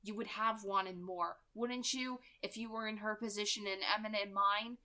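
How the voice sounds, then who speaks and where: A woman voicing a gentle, intense, protective seventeen-year-old immortal young man, speaking in an earnest, controlled way for a story. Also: mid-range voice, fairly even intonation, normal speed, close to an everyday voice. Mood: resigned.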